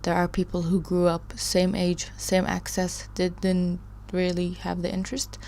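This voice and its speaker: A low, gravelly woman's voice talking to her stream chat from a home desk mic. She rambles, trails off, laughs between thoughts and circles back to comments in loose casual chat. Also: completely flat delivery, unhurried, a little mellow. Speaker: live stream host studying a course.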